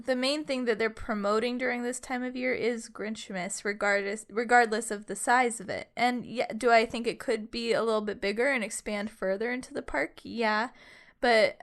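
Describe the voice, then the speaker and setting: Playful woman podcast co-host, fairly high. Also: fairly bright tone. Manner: playful, teasing, quick to laugh. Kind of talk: casual chat.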